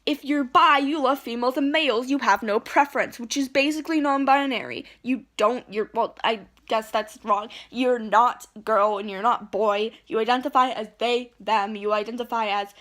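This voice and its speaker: A woman's voice: a little high, normal speed, few pauses, a bit bright. A woman ranting indignantly into her phone at home, rambling and restarting as she argues. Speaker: vlogger. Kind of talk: heated rant to camera. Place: at home, indoors.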